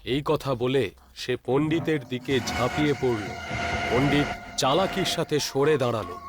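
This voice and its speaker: A man's voice: mid-range voice, moderate pace, very noisy. A man narrating a scripted ghost story as a storyteller.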